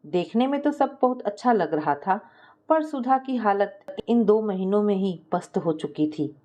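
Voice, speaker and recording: A woman storyteller reads a scripted domestic tale. Her voice is mellow and gentle on the ear, at an average female pitch. She voices the dialogue within her narration. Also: animated, a little slow, dull audio.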